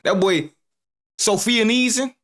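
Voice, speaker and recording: A man's voice: high-pitched, takes it slowly, fairly bright tone. A man commenting casually on a video he is reacting to, joking in loose slang.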